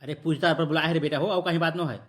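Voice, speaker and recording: A woman voicing a folksy, easily flustered village headman, fatherly yet gruff, in a Chhattisgarhi comedy cartoon. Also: low voice, steady tone, racing, lightly acted. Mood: reassuring.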